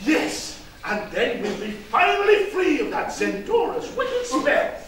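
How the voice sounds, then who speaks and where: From far off in an echoey, noisy hall comes a pompous pantomime king played by a man. His voice is very high and swoops about as he blusters in exasperation with quaint, theatrical exclamations. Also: wildly swooping pitch, measured pace, full character voice. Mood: pompous, blustering. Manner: pompous old-fashioned exclamations. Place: theatre stage. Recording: distant stage mic, echoey hall, loud noise.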